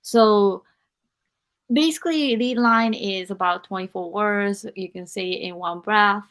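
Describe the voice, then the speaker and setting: A woman from Japan, a non-native English speaker, hosting a live podcast interview and encouraging her guest while pausing to search for words. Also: medium pitch, fairly bright tone. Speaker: podcast host.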